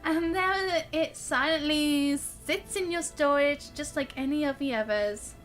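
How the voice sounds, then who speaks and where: A woman with a high, bright voice commentating live on a game stream. She is chatty and expressive, switching between reacting and reading text aloud, with game music in the background. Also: measured pace.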